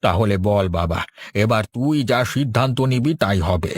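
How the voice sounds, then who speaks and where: A man voicing a gentle, devoted older village father in a cartoon, tender and reassuring. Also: lowish voice, expressive, normal speed, breathy edge, no room sound, clean studio sound. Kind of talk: Bengali cartoon dialogue.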